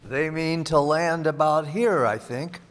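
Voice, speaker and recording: Man as kindly minister, slightly high voice, formal, firm, polite, unafraid, radio play, echoey hall. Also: a little slow, close to an everyday voice. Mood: skeptical. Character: kindly, rational minister father, proper, unafraid. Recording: echoey hall stage recording.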